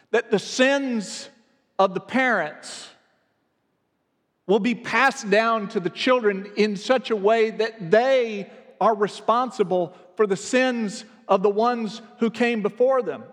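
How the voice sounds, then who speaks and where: A man's voice: high voice, in a large hall. A man from the American South preaching a reflective sermon as pastor, earnest and building in emphasis.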